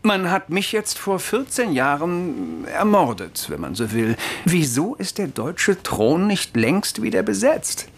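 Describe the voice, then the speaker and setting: An actor in a radio drama playing an exiled old German emperor, excitable, grandiose and embittered, with a pompous theatrical delivery. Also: male voice, high voice, measured pace, vocal fry, few pauses, breathy edge, full character voice. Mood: hesitant, probing. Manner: theatrical, pompous, swinging between whisper and outburst.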